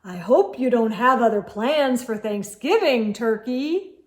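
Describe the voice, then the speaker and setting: A woman's voice: a little high, lively intonation, unhurried, reverberant. A woman voicing an eager male moose, polite but insistent, in a children's storybook read-aloud.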